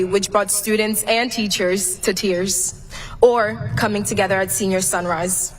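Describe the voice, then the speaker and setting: A young woman from Cameroon who is her class president, giving an earnest, measured graduation speech read from a prepared text. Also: mid-range voice, low-quality recording. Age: young adult. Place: large graduation venue.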